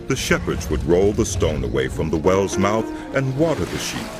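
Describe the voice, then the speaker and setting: A man narrating scripture as a dramatic scripted story, measured and solemn. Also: low-pitched. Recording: loud music behind voice.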